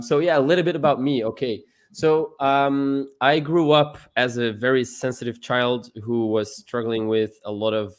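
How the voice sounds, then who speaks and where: A young man from Belgium, a guest on a live stream, talking in an earnest, casual way and often repeating words as he starts a sentence. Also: medium pitch.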